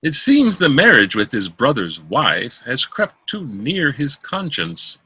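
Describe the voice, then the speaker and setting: A man reading Shakespeare verse drama aloud as an amateur, in a theatrical, declaiming manner with a husky voice. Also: mid-range voice, huge pitch swings, takes it slowly, sharp tone. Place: close dry room, online call.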